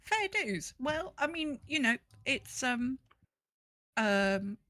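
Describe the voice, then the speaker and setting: A woman's voice: high-pitched, sing-song, slow, pushed and bright, no room sound. A woman co-hosting a casual gaming podcast in an informal, chatty, slangy way. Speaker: podcast co-host.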